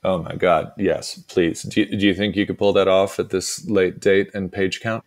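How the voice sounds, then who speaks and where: A self-conscious, flustered man in a comic audio drama. His voice sits fairly low. He is fawning and fussily polite, hesitating and apologizing like a nervous waiter. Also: steady tone, normal speed. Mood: sheepish, apologetic.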